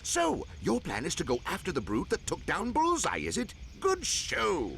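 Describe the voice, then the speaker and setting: A man's voice: high-pitched, huge pitch swings, moderate pace, gravelly, fairly bright tone, slight background noise, full character voice. A man playing a hearty, effusive British hunting guide as dialogue in a video game. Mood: hearty praise. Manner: effusive, exclamatory, old-fashioned chummy phrasing.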